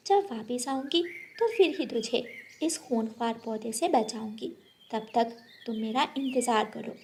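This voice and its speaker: A woman performs a little female cartoon bird with a high voice. She sounds kind, brave and earnest, with a pleading way of speaking, over slightly noisy sound. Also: a little slow, darkish tone, natural voice. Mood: reproachful. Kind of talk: Hindi children's cartoon acting.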